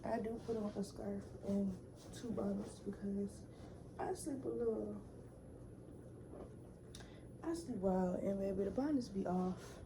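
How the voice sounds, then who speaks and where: A young woman vlogging from her bedroom in relaxed, rambling casual chat, full of slang and fillers. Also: mid-range voice, measured pace, pauses often, background noise. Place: bedroom at home.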